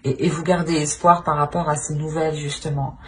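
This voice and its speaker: Low-pitched woman reading tarot, noisy background. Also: flat delivery, a little fast. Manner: hesitant, searching, repeats and rephrases phrases. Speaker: tarot reader, channel host. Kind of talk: unscripted tarot card reading addressed to viewers. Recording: clear background noise.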